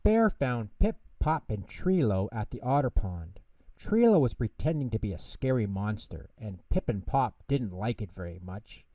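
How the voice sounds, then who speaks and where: Expressive man reading a bedtime story. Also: on the low side, moderate pace, dry recording, far from the mic, lo-fi sound. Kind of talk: scripted bedtime story narration.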